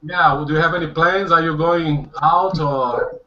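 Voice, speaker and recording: A Brazilian man runs a casual group video call with an upbeat, chatty energy. His voice is bright and sits a little high, and the audio comes through dull and lo-fi. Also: fairly even intonation, measured pace. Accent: Brazilian Portuguese. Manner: upbeat, switches between Portuguese and English, laughs often. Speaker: host of a language-practice video call.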